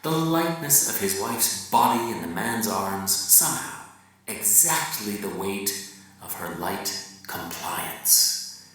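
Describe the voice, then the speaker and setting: Man, dramatic reading, wry and theatrical, lowish bright voice, very echoey hall, distant mic. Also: animated, slow. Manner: wry, theatrical, shifting from loud emphasis to hushed murmurs. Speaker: reader at a live dramatic reading. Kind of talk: dramatic reading of a novel monologue.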